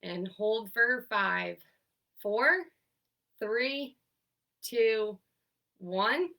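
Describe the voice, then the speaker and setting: A woman's voice: on the high side, expressive, very slow, gravelly, bright, forceful tone, recorded from a distance. Stuffy-voiced woman leading a seated workout. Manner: upbeat, encouraging, counts down holds. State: congested, stuffy from sinus trouble. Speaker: occupational therapist leading seated exercise class. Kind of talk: instructing an online exercise class.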